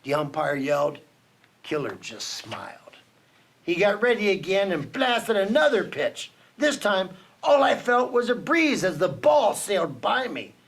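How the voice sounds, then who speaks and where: A man reads a children's storybook aloud at an unhurried pace, his fairly high voice swinging widely as he builds suspense. He leans into dramatic pauses like a storyteller for young listeners. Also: big pitch swings, vocal fry, fairly bright tone. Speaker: children's book reader for a team reading program. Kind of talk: reading a children's picture book aloud.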